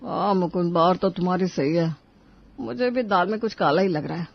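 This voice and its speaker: A man's voice: high-pitched, normal speed, gravelly, highs cut off. A man playing a mild, agreeable husband in a cartoon family story, speaking in a subdued way. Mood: suspicious.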